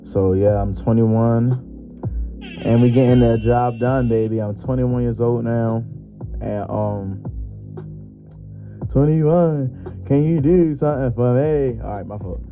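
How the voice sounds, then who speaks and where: A man's voice: mid-range voice, slow, pauses often, dark tone. A 21-year-old man hosting his own podcast, talking loosely and jokingly with lots of slang and fillers. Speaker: podcast host. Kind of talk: casual solo podcast monologue. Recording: muffled lo-fi with music behind.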